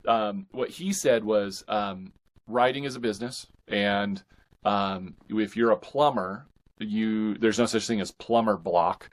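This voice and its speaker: A man speaking as a guest author in a casual interview, earnest and reflective, often halting and repeating words. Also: lowish voice. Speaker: guest, indie fiction author.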